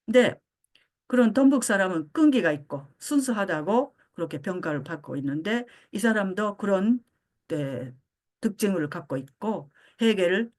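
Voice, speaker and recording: An older woman born in Japan giving a philosophy lecture, pausing often with fillers as she searches for words. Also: mid-range voice, lively intonation, a little mellow. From Japan (Osaka). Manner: frequent hesitation fillers, halting.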